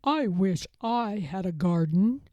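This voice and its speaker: A woman voicing an earnest, impatient, anxious male toad in a storybook, with emphatic, drawn-out delivery. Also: mid-range voice, animated, no echo, muffled recording, pitched below the performer's own voice. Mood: wistful. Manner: emphatic, loud, drawn-out exclamations.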